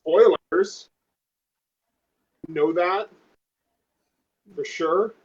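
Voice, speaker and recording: A man's voice: sits high, drawn-out words, darkish tone. A man playing a tabletop game, thinking aloud hesitantly as he plans in casual chat. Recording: dull lo-fi voice recording.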